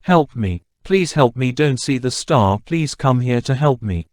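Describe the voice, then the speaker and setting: A man's voice: mid-range voice, animated, measured pace, darkish tone, faint music behind, lightly acted. A man playing a self-proclaimed village astrologer in a cartoon moral story. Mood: desperate.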